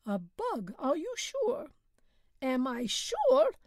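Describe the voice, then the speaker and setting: A man's voice: very high voice, huge pitch swings, slow pace, no echo, clean studio sound, pitched above the performer's own voice, heavily acted. A woman reading a panicky peasant man who moans that a bug is in his ear, in a pleading voice. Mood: pained, frantic. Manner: pleading, moaning.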